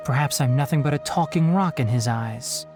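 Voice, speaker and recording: An actor playing a young, earnest and principled scholar in a video game, speaking in a measured, formal, guarded way. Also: male voice, mid-range voice, over music, natural voice. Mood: concerned, reflective.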